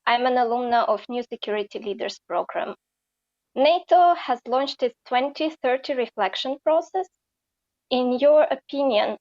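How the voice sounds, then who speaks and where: High-voiced young woman from Ukraine, lo-fi. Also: darkish tone. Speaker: program alumna asking a question. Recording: dull lo-fi recording.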